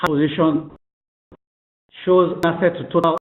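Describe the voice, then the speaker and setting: Echoey phone call, guy with a highish voice reading out a formal results report, kinda halting. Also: level tone. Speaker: company executive presenting financial results. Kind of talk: formal scripted financial presentation on a conference call. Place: conference call phone line. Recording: tinny, echoey telephone conference line.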